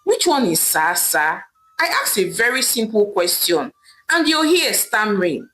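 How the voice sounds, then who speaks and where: A woman's voice: mid-range voice, sing-song, measured pace, a bit bright, faint music behind, poor audio. A woman voicing a worried, impatient older male head of household with a Nigerian accent. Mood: worried, demanding. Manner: demanding, impatient questioning. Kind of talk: storytelling character voice.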